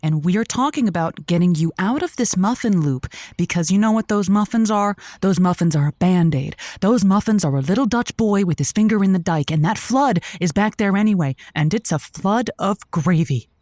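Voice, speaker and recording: A woman's voice: medium pitch, lively intonation, normal speed, hardly any pauses, a little mellow, no room sound, clean studio sound, close to an everyday voice. An actress playing a chatty, earnest woman radio host who stammers emphatically while giving advice in a comedic audio drama. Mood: earnest, exasperated. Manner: stammering, searching for words, emphatic. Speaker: call-in radio show host.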